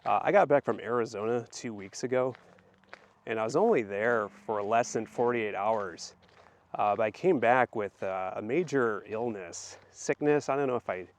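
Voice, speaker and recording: Hoarse man rambling in a casual vlog. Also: mid-range voice. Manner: rambling, many fillers and restarts. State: hoarse, voice deepened by illness. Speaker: channel host. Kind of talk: casual walk-and-talk vlog.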